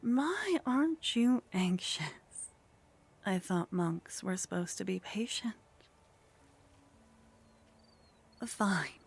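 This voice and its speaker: A woman playing a teasing, condescending female vampire in a soft ASMR roleplay, languid and haughty, often slipping into whispers. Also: medium pitch, sing-song, takes it slowly, breathy edge, exaggerated voice. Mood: teasing, mocking. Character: teasing, condescending female vampire, sly, centuries-worldly contact.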